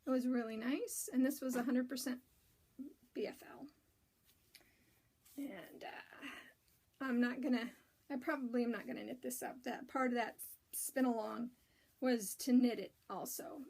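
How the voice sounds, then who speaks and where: A woman with a raspy voice hosting a casual knitting and spinning show-and-tell, rambling with many ums and restarts. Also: a little high, steady tone, a little slow, pauses often, darkish tone.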